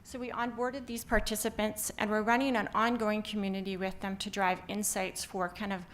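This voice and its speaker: A woman's voice: mid-range voice, flat delivery, fairly quick, fairly bright tone. Upbeat Canadian woman presenting. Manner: upbeat, many "um" fillers. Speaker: company founder presenting. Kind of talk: conference presentation.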